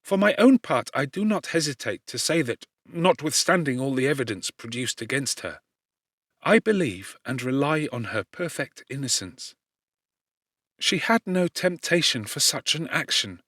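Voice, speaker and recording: A man plays a young gentlewoman standing up for a friend in an audiobook. The delivery is earnest, formal and firm, at a mid male pitch. There is almost no room sound. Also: sing-song, normal speed, no room sound, clean studio sound. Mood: firm conviction. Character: brave young gentlewoman defending accused friend. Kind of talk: audiobook character reading.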